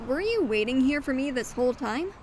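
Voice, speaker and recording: A woman's voice: sits high, lively intonation, a little fast, a little mellow, a little hiss or hum. A woman voicing a spunky, friendly and teasing young goat girl in an audio drama, perky and chatty. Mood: playful.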